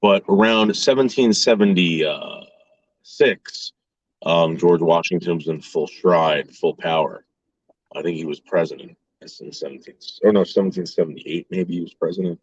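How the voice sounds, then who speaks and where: Deep-voiced joking man, dull sound. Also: moderate pace, dry recording. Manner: joking, offhand, mock insults. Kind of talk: casual chat.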